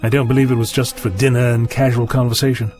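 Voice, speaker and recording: An actor playing a quick-witted, wry, heroic time traveller in an audio drama. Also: male voice, mid-range voice, level tone, a little mellow, faint music behind, lightly acted. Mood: wry, composed.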